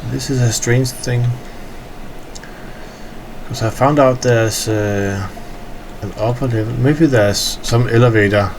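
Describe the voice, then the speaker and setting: Tired Danish man with a gravelly voice. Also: medium pitch, slow pace, long pauses, noisy background. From Denmark. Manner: rambling, hesitant, with frequent fillers. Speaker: gamer touring his in-game hangar. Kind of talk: casual game walkthrough commentary.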